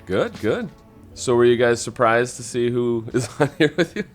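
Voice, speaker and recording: A man's voice: mid-range voice, animated, moderate pace, faint music behind. A man hosting a podcast in a casual, informal, rambling conversational way. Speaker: podcast host. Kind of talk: casual chat.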